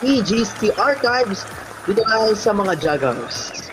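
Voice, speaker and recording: A man's voice: sits high, big pitch swings, slow, noisy background. A Filipino man co-hosting a live game show, announcing prizes in a mix of Tagalog and English. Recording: loud music under the voice. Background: loud music.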